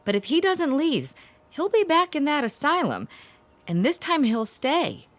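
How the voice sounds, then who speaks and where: An actress playing a brisk, no-nonsense grown daughter in a radio drama, firm and commanding. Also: female voice, sits high, very animated, dry recording. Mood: firm, insistent. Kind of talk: old-time radio drama acting.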